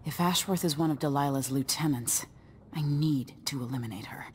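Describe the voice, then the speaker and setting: Low-voiced, grave young woman acted. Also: fairly quick, a little mellow, a little hiss or hum, natural voice. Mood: resolute. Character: young exiled empress, grave, resolute, restrained. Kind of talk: video game narration and dialogue.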